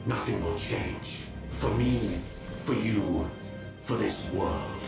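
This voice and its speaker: An actor playing an ancient, eccentric alien, archly polite and sardonic, with a creaky voice in a scripted game cutscene. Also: male voice, fairly low pitch. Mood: sly, musing. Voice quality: creaky, gravelly. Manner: theatrical, slow, musing, archly polite. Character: ancient eccentric male alien, sardonic, slyly courteous, forgetful schemer. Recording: echoing voice under background music.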